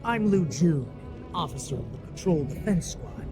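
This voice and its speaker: A man's voice: on the high side, sing-song, normal speed. An actor playing a deferential male patrol officer in a video game, respectful and explanatory. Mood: apologetic, respectful. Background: game music.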